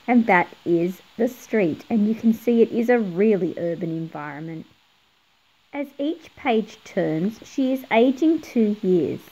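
A woman's voice: mid-range voice, lively intonation, a little slow, mellow, low-quality recording. A middle-aged woman narrating a picture book for children, explaining things and putting questions to young viewers. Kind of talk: read-aloud narration for children.

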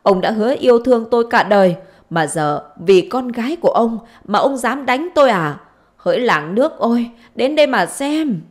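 A woman's voice: mid-range voice, expressive, moderate pace, natural voice. A woman voicing a spiteful, foul-mouthed middle-aged village wife in a story, scolding, wailing and taunting. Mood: outraged wailing.

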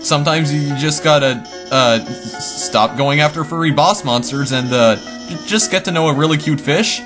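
A man's voice: on the high side, moderate pace, natural voice. Guy doing a shy stuttery lizard lady, all nervous, music in back. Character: nervous, stammering lizard scientist woman.

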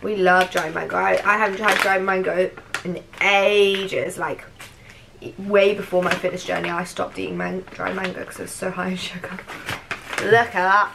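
A woman in her twenties chatting casually and expressively to a vlog, croaky from a cold and sore throat. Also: on the low side, flat delivery, normal speed. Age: 20s. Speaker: vlogger. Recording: clear background noise.

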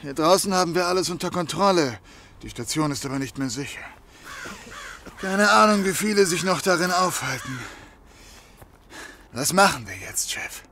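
An actor playing a loyal, slow-witted henchman in a German audio drama, husky and hesitant, eager to please. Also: on the high side, lively intonation, sharp tone, a little hiss or hum. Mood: uneasy, questioning.

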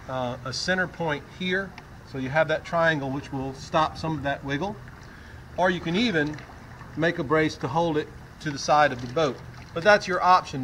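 An older man with a slightly raised voice talks through a product demonstration in a relaxed, conversational way, often pausing on "uh" and "um". He is recorded outdoors by a camera mic at a little distance, with faint background noise. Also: a little high. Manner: conversational, explaining with frequent fillers. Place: outdoors, standing in shallow bay water. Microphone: camera mic at medium distance.

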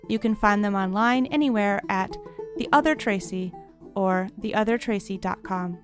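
A woman delivers scripted podcast credits as an announcer. Her pitch is middling, and plucked guitar music plays clearly behind her.

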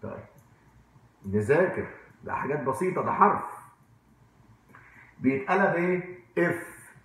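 A man's voice: on the high side, expressive, unhurried, a bit bright, a little hiss or hum. A man in his forties with an Egyptian accent giving an informal language lesson, repeating words and asking check questions in a conversational way. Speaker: English teacher. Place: indoor room at a whiteboard. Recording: very echoey room.

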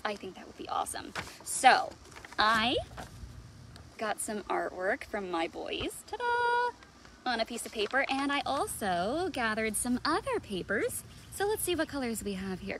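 A woman in her thirties, a children's librarian, reading aloud and chatting to young children in an animated sing-song way, recorded outdoors at arm's length from the device. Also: on the high side. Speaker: children's librarian hosting storytime. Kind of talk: read-aloud and chat addressed to young children. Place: outdoors in a leafy backyard. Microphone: device mic at arm's length. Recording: outdoor background noise.

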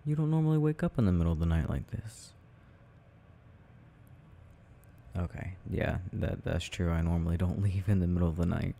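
Man playing a low, gravelly, doting sleepy-time boyfriend. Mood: tender, apologetic. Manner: affectionate, murmuring, gently teasing, unhurried. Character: tender, doting boyfriend soothing his sleepy partner at night. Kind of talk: intimate ASMR boyfriend roleplay, rambling.

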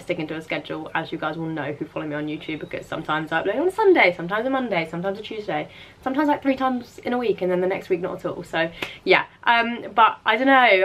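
A woman's voice: fairly low pitch, a bit bright. A young woman in her twenties vlogging casually at home, chatting with lots of fillers and a slightly sore throat from a cold. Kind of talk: casual chat to camera. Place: bedroom at home, indoors. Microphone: handheld camera, arm's length or mirror.

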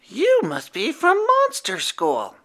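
A storyteller voicing Howler, a kind young male werewolf with a high voice, gentle and reassuring with the little monsters in a storybook. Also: huge pitch swings, slow, exaggerated voice. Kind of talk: storybook reading.

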